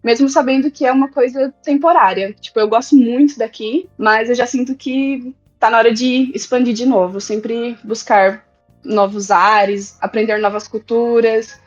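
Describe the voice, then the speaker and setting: A young woman from Brazil, a guest on the show, casually telling how she moved abroad as an au pair, in a relaxed, reflective way. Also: fairly high pitch, moderate pace, soft background music. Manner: relaxed, reflective, filler "tipo". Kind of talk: casual storytelling. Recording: dull lo-fi sound.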